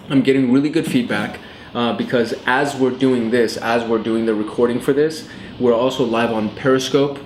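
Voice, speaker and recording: A man's voice: medium pitch, slight background noise. A man in his late twenties hosting a show, explaining money topics in a casual, chatty way straight to camera, with lots of fillers. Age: 20s. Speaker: host. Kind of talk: casual educational vlog talk. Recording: roomy echo with faint ambience.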